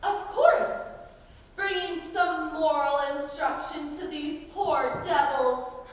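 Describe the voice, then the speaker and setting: A teenage girl playing a brisk, sanctimonious outlaw nun with a scolding manner in a school stage play. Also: high voice. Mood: pious, scolding. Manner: pious, scolding, emphatic. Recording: distant, echoey stage recording with noise.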